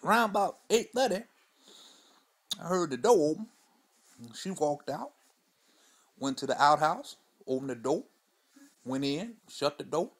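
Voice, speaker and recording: A man's voice: on the high side, lively intonation, measured pace, pauses often. A man playing an older, crude storyteller who speaks in a loose, profane, rambling drawl and keeps asking "you know" and "see?". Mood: wry. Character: crude old Southern man spinning tall tales. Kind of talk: stand-up character monologue.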